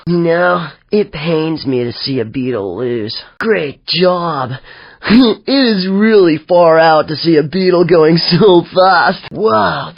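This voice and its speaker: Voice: medium pitch, dry recording, highs cut off. An actor voicing a laid-back young hippie surfer dude announcer in a racing video game, drawling in easygoing slang. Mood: excited, amused. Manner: drawling, mellow slang, easily amused.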